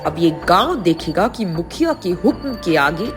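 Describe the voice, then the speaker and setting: A woman's voice: fairly low pitch, sing-song, measured pace, over music, voice pushed down. Woman as a taunting, arrogant male village chief. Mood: gloating. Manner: taunting, menacing.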